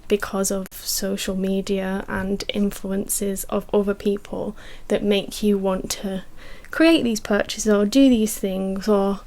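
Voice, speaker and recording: A woman's voice: mid-range voice, fairly even intonation, slow pace, hardly any pauses, a little mellow. A woman in her twenties giving earnest interview answers, with a habit of saying "like". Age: 20s. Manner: earnest, uses filler "like".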